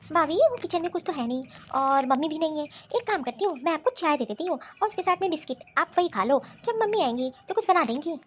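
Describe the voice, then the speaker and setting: A woman voicing a jealous, sulky sister-in-law who talks in a sarcastic, complaining way in an animated family drama. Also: sits high, fast talker, slight background noise. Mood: grudging.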